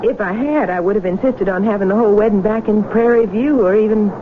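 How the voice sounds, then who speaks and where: An actress in a 1970s radio drama playing a gracious, modest young farm-raised bride with a friendly, polite manner. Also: female voice, medium pitch, fast talker, close to an everyday voice. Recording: noisy, muffled vintage radio broadcast.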